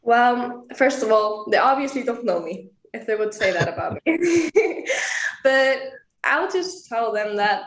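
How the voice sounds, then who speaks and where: Young woman from iceland, kinda high voice, laughs a lot, roomy echo. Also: animated. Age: young adult. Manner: earnest, laughs often, quick and upbeat. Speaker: pageant contestant. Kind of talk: interview answers.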